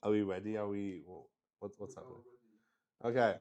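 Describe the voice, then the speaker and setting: A man hosting a solo tabletop roleplaying game in casual, halting live-stream chat, thinking aloud and repeating words. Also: fairly low pitch, fairly even intonation, darkish tone. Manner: swears casually.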